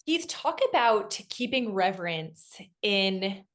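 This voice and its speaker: A woman's voice: mid-range voice, lively intonation, slow pace, a bit bright. A woman interviewing a guest, asking questions in an engaged, affirming way.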